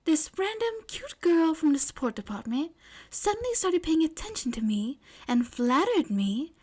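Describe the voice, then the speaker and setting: A woman's voice: sits high, big pitch swings, normal speed, darkish tone, pitched above the performer's own voice. A woman voicing a shy, flustered teenage girl in love, with hesitant delivery, as in an audiobook. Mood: flustered, exasperated. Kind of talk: audiobook character dialogue.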